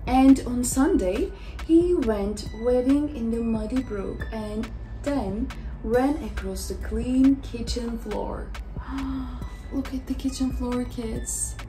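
High-pitched young woman reading slowly to children. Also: music in the background, low-quality recording. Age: young adult. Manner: exaggerated, simple short phrases, reacting to the pictures. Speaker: children's story reader. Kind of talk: expressive picture-book read-aloud with asides to children.

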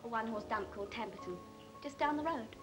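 Female actor as cool small-town English girl, twenties, coy, quick delivery, slightly high voice, 1940s film sound. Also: animated, natural voice. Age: 20s. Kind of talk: 1940s British film acting. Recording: old film soundtrack, music behind.

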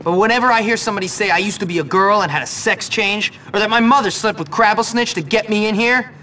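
An actor voicing a cocky, combative teenage schoolboy in a video game, brash, blunt and sarcastic. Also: male voice, high-pitched, fast, bright, forceful tone, slight background noise. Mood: wry. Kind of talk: video game cutscene acting.

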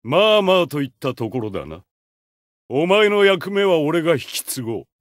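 A haughty, godlike male villain for a fighting game. The voice is fairly high for a man and swings dramatically in pitch, close and dry. He sounds ruthless, commanding and full of contempt. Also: very animated, fast talker, no room sound. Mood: cold, haughty. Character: haughty, ruthless male supervillain with god complex. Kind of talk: Japanese fighting game voice acting.

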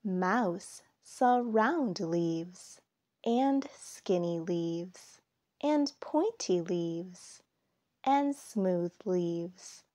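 A woman reading a children's picture book aloud, with deliberate phrasing and expressive emphasis. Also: on the low side. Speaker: storybook reader.

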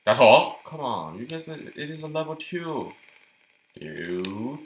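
A man's voice: mid-range voice, very animated, slow pace. A man giving casual gameplay commentary, chatty and thinking aloud, often repeating words as he goes. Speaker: gameplay youtuber.

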